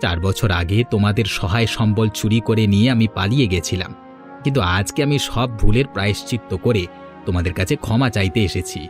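An actor playing a remorseful but determined prosperous younger brother in a cartoon, solemn and earnest. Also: male voice, lowish voice, fast talker, music in the background, close to an everyday voice. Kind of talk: cartoon moral story voice acting.

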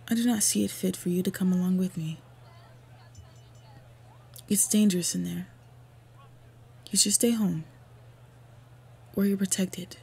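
A woman playing an earnest, devoted half-orc woman in a fantasy roleplay audio, speaking formally and softly with a breathy voice. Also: medium pitch, exaggerated voice. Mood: tender, protective.

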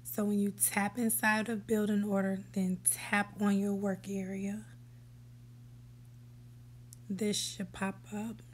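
Slow, even-toned woman tutorial host. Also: mid-range voice, a little hiss or hum. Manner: relaxed step-by-step instructions, sometimes whispery. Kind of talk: screen-recorded app tutorial voice-over. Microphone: voice-over for a screen recording.

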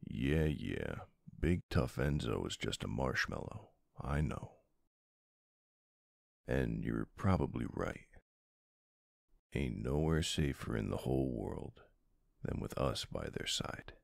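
A man playing a tough but soft-hearted mafia boss husband in an intimate ASMR roleplay, murmuring tenderly with a very deep, gravelly voice. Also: expressive, slow, long pauses, no echo, clean studio sound, heavily acted. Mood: tender, uneasy.

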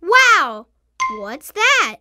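Excitable little cartoon kid, extremely high, swooping intonation, simple clear phrases, music behind. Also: female voice, very slow, full character voice. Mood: excited. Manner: exclamatory, carefully enunciated. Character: excitable young child in a children's cartoon. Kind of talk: slow English-lesson cartoon dialogue.

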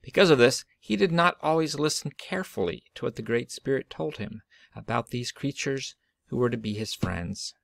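A man reading a children's picture book aloud in an expressive storytelling style. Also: medium pitch, moderate pace, creaky, no echo, studio quality. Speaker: storybook reader.

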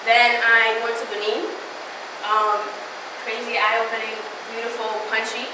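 A young woman from the Dominican Republic, a native Spanish speaker, talks to a crowd in a loose, chatty way. Her voice sits a little high. It carries from a distance through a very echoey hall with steady noise behind it. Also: unhurried. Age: young adult. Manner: casual, chatty, with frequent fillers and laughs. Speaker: student speaker. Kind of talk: motivational talk. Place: lecture hall before a live audience. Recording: very echoey hall, mic far off, noisy.

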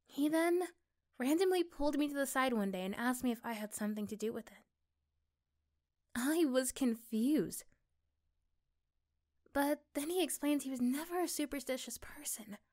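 Woman performing a loving young girlfriend, high voice, soft-spoken, reassuring, intimate ASMR roleplay. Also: animated, normal speed, pauses often. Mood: storytelling. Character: affectionate, reassuring young girlfriend chatting intimately to her partner.

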